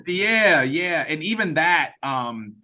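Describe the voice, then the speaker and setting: Guy on a video call running a script read-through, casual, kinda halting, thinks out loud. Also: on the high side, lively intonation. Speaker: writer and director leading a script read-through. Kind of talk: casual creative feedback discussion.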